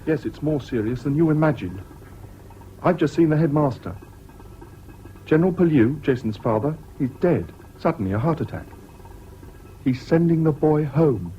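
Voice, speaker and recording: A calm, decent senior schoolboy, played by a man in an old radio play, with a soft, mellow English public-school voice. His intonation is lively, his manner gentle. The broadcast sounds slightly muffled. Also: fairly high pitch, normal speed, pauses often, a little hiss or hum, natural voice. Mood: grave, dry. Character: Payne, calm, decent older male schoolboy. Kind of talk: 1970s BBC radio drama acting.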